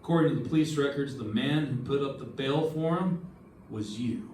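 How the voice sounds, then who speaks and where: Hard-boiled detective , male actor: middle pitch, clipped and probing, old-time radio style, echoey sound. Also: moderate pace, darkish tone, a little hiss or hum, close to an everyday voice. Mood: pressing. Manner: terse, pointed. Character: hard-boiled male investigator narrating and questioning suspects. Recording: echoey room, distant mic, music.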